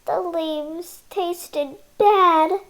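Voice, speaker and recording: A female reader reading a children's picture book aloud, halting word by word in short phrases. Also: very high-pitched, fairly even intonation, fairly bright tone. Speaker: storybook reader.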